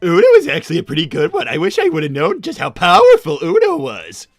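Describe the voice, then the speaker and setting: A boastful young cartoon hawk played by a man, excitable and theatrical. The pitch is high and swings wildly, with a heavy gravelly creak. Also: quick pace, no echo, soft background music, exaggerated voice. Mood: eager. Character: cocky, dramatic young male hawk. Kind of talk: improvised cartoon character roleplay.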